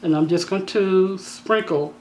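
A woman with a slightly raspy voice hosting a home cooking tutorial, talking in a chatty, down-to-earth way with joking asides. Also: mid-range voice, unhurried, a little mellow, slight background noise, poor audio. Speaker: cooking channel host. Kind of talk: casual cooking tutorial voice-over. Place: home kitchen.

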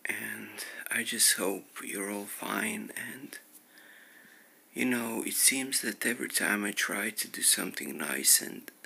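Slow gravelly man, in pain. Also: lowish voice, pushed and bright. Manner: slow, halting, pained, often whispery. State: in pain, close to tears. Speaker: vlogger. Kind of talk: casual personal update to viewers.

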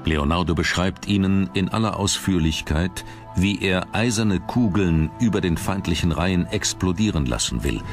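A man narrating a documentary from a script in a measured, dramatic storytelling manner. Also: deep voice. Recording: music under the narration. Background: background music.

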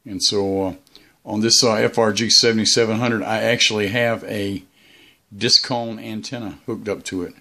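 A man with a fairly low voice chats informally as a hobbyist. He explains in an unhurried, halting way, wandering from point to point as if filming at home with his gear. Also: steady tone, bright, forceful tone. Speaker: shortwave radio hobbyist vlogger. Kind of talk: casual hobby chat. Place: indoors, small room beside radio gear.

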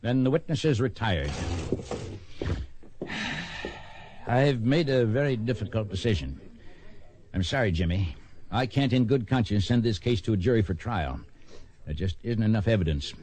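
An actor playing a firm, folksy frontier judge in a western radio drama. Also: male voice, on the low side, animated, normal speed, pauses often, a little mellow, lightly acted. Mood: firm, regretful. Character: authoritative but kindly frontier judge.

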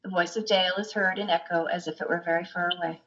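A woman's voice: fairly low pitch, steady tone, fast, fairly bright tone. A middle-aged woman reading stage directions as narrator of a play, recorded over a video call with muffled sound. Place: room at home, indoors.

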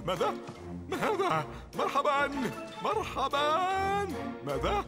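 Cartoon villain, bumbling, scheming, extremely animated pitch, exaggerated exclamations, loud music behind. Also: male voice, a little slow, exaggerated voice. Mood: baffled, alarmed. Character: bumbling, easily baffled scheming male villain hunting children. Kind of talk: children's TV show dub in Arabic.

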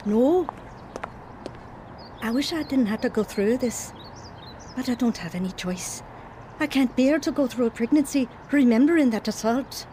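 A woman's voice: on the high side, moderate pace, pauses often, noisy background, lightly acted. An actress playing a troubled young mother telling her painful life story in a radio drama. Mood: anxious. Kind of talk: dramatised first-person narration, radio drama. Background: music.

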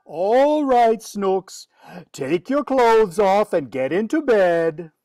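A woman acts as a long-suffering daddy in old-time radio comedy. The delivery is slow, with big swings in pitch and a close, dry sound. The tone is firm and wry. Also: lively intonation, mellow, no echo, soft background music. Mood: firm, exasperated. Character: exasperated, firm father of a mischievous girl. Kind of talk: 1940s radio comedy acting.

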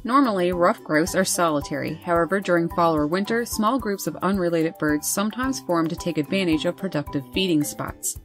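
A woman host reading scripted nature narration over wildlife footage in a measured, informative way. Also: on the low side, soft background music. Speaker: birding channel host.

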